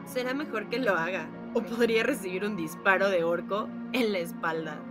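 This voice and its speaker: A woman's voice: mid-range voice, moderate pace, over music, lightly acted. A woman playing a hardened, cynical female veteran soldier with a dry, terse manner in an audiodrama. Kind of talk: audiodrama acting.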